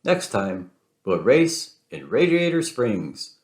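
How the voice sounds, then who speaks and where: A man's voice: fairly low pitch, sing-song, slow pace, roomy sound, full character voice. A man voicing a confident, friendly race car in a children's storybook, cocky but good-natured in manner. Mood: excited.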